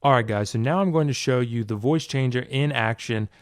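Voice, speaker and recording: A man's voice: medium pitch, fairly quick, dry recording, studio quality. A man giving a casual, hands-on tutorial. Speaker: tutorial video maker.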